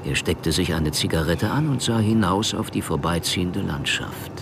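A man's voice: sits low, animated, normal speed, creaky, background noise. A man narrating a scripted noir crime story as the narrator of a radio drama.

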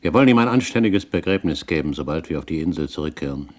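An actor playing a troubled older pirate captain in a German radio play, speaking gravely and formally. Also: male voice, a bass voice, animated, fast talker. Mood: solemn.

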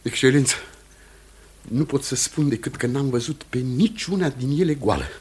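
An actor playing an indignant, accusing village pastor in a radio drama, speaking formally and insistently. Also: male voice, medium pitch, a little mellow, husky, lightly acted. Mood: defensive. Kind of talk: theatrical radio drama acting.

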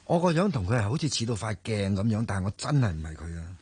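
A man's voice: fairly low pitch, big pitch swings, fast, a little mellow, slightly rough, no room sound. An actor in a Hong Kong radio drama playing a hesitant, flustered young man with a Hong Kong Cantonese accent. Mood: flustered polite. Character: flustered, earnest young man mistaken for another. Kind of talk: broadcast radio drama acting.

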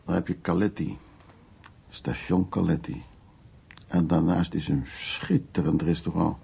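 An actor playing a worldly, self-assured, flirtatious middle-aged art restorer, speaking in short, familiar, unhurried phrases in a naturalistic radio drama. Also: male voice, low-pitched, little pitch movement, creaky, dark tone, breathy edge, full character voice. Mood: relaxed self-assurance.

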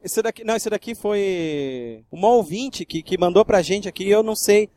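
A man's voice: sits high, lively intonation, normal speed, warm tone. A man with a Brazilian accent hosting a comedy podcast in casual chat.

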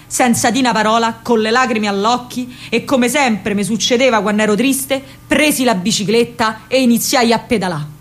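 High-voiced, spirited Roman girl played by a woman. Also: close to an everyday voice. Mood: wistful. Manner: colloquial, emphatic, expressive storytelling. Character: spirited, indignant working-class Roman partisan girl, narrating her memories. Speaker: actress. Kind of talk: theatrical first-person monologue in dialect.

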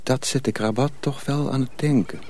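A man's voice: medium pitch, moderate pace, darkish tone, slight background noise. A man reading scripted story narration for a radio drama.

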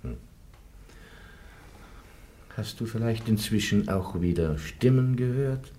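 An actor playing a cold, stern inquisitor, questioning in a measured way in a radio play. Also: male voice, lowish voice, expressive, dark tone. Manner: measured, interrogating. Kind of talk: German radio play acting.

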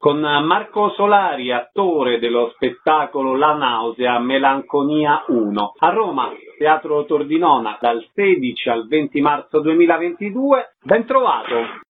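A man's voice: on the high side, animated, unhurried, phone-quality sound, soft background music. A man presenting and asking short questions in a radio theatre interview.

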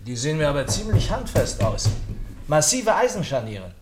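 An actor playing a mild, loyal, easily astonished sidekick in a radio play, genial and slightly bumbling. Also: male voice, a little high, big pitch swings, moderate pace, background noise. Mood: sceptical. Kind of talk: German radio play acting.

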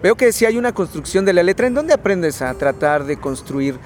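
A man hosting a radio interview, asking questions in a halting, searching way. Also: high-pitched, big pitch swings, slight background noise. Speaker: radio host.

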